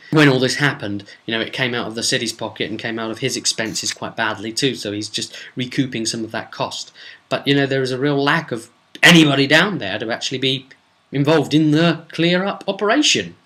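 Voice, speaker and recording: A man speaking as a game master, casually retelling a role-play session with emphatic, drawn-out words for suspense. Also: medium pitch, a bit bright. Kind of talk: casual spoken storytelling recap.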